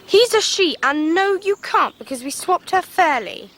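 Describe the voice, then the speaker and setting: Spirited Victorian daughter: high, lively English voice, earnest and outspoken, radio drama. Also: female voice, a little slow, heavily acted. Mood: indignant. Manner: earnest, outspoken, well-spoken. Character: spirited, rebellious Victorian girl, a daughter of the house. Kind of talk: 1990s British radio drama acting.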